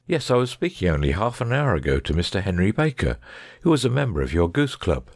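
A man's voice: low voice, sing-song, a little fast, dry recording, clean studio sound, heavily acted. A man playing a shrewd, self-assured detective in a dramatised audiobook, speaking precisely and formally. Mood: genial.

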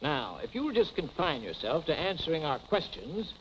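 A firm police interrogator in a vintage crime drama. His fairly high voice moves animatedly yet stays formal. Faint noise sits on the old soundtrack. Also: very animated, normal speed. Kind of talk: 1940s film acting. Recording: slightly noisy 1940s film soundtrack.

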